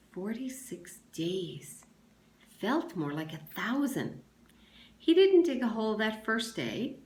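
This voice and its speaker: Woman reading a story in an echoey room. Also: mid-range voice. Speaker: teacher reading aloud. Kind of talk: expressive children's novel read-aloud.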